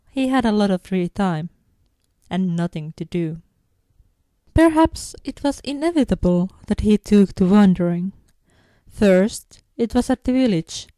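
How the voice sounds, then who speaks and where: A woman's voice: medium pitch, dark tone, no echo. A woman reading a story aloud as a scripted narration. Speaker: fan fiction audiobook narrator.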